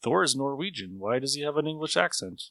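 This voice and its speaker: Casting: male podcast host, forward bright tone, mid pitch, dry close sound, chatty. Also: animated, quick pace, gravelly, dry recording. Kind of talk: casual discussion.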